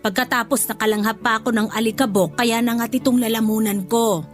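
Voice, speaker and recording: A woman's voice: on the high side, fairly even intonation, a little fast, lightly acted. A woman playing a devoted, motherly older nanny in a Filipino radio drama, soothing and reassuring. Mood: gentle reassurance. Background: music.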